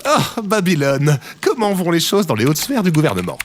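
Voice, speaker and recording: A man's voice: on the high side, moderate pace. A man playing an exuberant, naive former president turned happy phone operator in a comic audio drama, gushing theatrically. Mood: elated, gushing. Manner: gushing, theatrical, wildly animated. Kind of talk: audio drama comedy acting. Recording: faint background noise.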